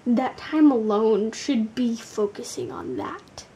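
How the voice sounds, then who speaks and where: A woman doing a casual, blunt tarot card reading, tired, with a gravelly, sleepy voice, swearing casually and using lots of fillers. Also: fairly high pitch, big pitch swings, takes it slowly, mellow, a little hiss or hum, poor audio.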